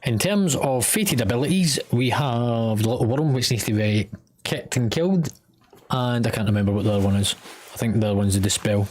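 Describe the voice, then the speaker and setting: A man's voice: mid-range voice, expressive, brisk, a little mellow. A Scottish man giving matter-of-fact, directive instructions to teammates as raid leader in an online game. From Scotland.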